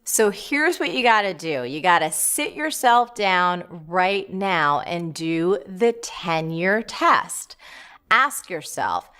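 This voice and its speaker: A woman giving advice in a lively, sing-song way, her pitch swinging up and down as she stresses key points. She speaks slowly and deliberately, with playful, upbeat emphasis. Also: clean tone. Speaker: advice host answering a letter. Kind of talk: reading a letter and giving advice.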